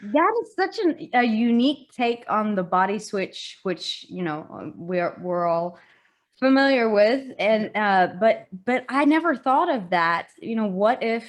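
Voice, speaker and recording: A woman's voice: medium pitch, lively intonation, a little slow. A woman hosting an interview, upbeat and enthusiastic as she asks questions and reacts. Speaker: interview host. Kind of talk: casual interview chat.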